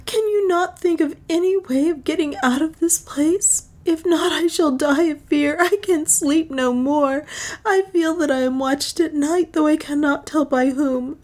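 A woman's voice: high-pitched, unhurried, darkish tone, pitched above the performer's own voice. A woman voicing a distraught, fearful young woman in an audiobook, tearful and pleading. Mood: weeping, pleading. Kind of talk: audiobook character acting.

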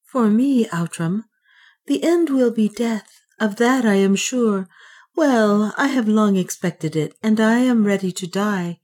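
A woman's voice: medium pitch, measured pace, darkish tone, voice pushed up, close to an everyday voice. A woman reading an audiobook, voicing an older male servant who is resigned and loyal, in a solemn, earnest manner.

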